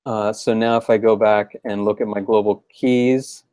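A man chatting informally and easily as he helps with a software demo on a team video call. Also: fairly low pitch, little pitch movement, moderate pace, clean tone, no room sound. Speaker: software developer assisting a demo. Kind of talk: casual chat on a team call. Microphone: video call microphone.

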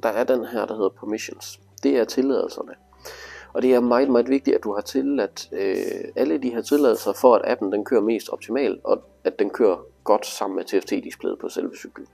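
A man's voice: fairly low pitch, lively intonation, gravelly, warm tone, faint music behind. A man giving a casual, hands-on tutorial, explaining things informally step by step. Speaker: instructor demonstrating motorcycle display.

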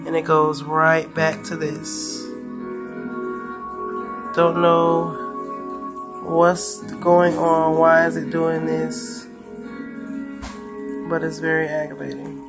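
A woman casually narrating a phone screen recording, flatly describing a sign-in problem and asking viewers for help. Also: sits low, takes it slowly, long pauses, over music. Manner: flat, frustrated help request. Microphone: phone camera filming a handheld screen.

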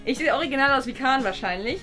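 A young woman chats playfully through a home challenge video. Her voice sits a little high. Music plays steadily in the background. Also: animated, quick pace, bright, forceful tone. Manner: chatty, playful commentary. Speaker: co-host. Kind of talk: casual challenge video chat. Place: bright indoor room with shelves.

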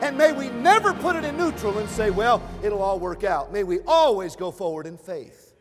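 Man, pastor, high-pitched, earnest reflective interview tone, music under it. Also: expressive, moderate pace. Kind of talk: documentary interview recollection. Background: soft background music.

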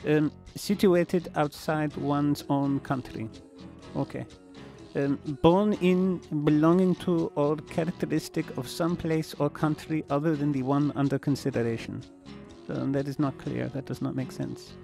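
High-pitched, flustered, halting cartoon reader. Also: male voice, steady tone, vocal fry, mellow, soft background music. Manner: halting, puzzled, complaining.

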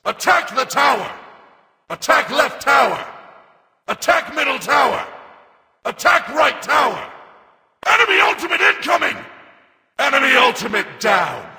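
Forceful, reverberant robot reaper warrior. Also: male voice, extremely high pitch, sing-song, measured pace, pauses often, full character voice. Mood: commanding, battle-fierce. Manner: forceful, barked short commands. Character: commanding robotic reaper warrior, grim and battle-hungry. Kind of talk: video game character callouts.

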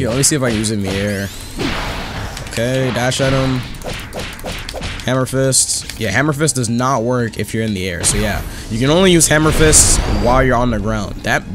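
Fast-talking young man doing game commentary. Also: medium pitch. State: losing his voice after being sick. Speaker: gaming YouTuber. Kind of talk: casual gameplay commentary. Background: music under the voice.

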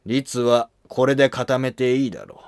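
A man's voice: medium pitch, steady tone, normal speed, darkish tone, no echo, studio quality, natural voice. A man playing a stern, dutiful senior court historian in a scripted historical drama. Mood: measured, pondering.

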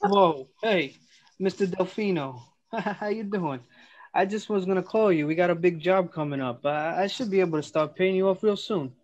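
Guy reading as touchy protective brother in a script read, high pitched, lofi audio. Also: moderate pace, darkish tone, close to an everyday voice. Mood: nervous placating. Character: gruff protective older brother, short-tempered. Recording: dull lo-fi video call audio.

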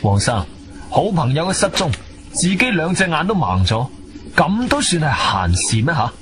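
A defiant, shrewd Cantonese adventurer in a radio play. His fairly high voice swings expressively between wry and firm, with music clearly heard behind it. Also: very animated, normal speed, a bit bright. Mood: indignant. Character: shrewd, defiant male adventurer telling his own story. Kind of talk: radio drama acting and narration.

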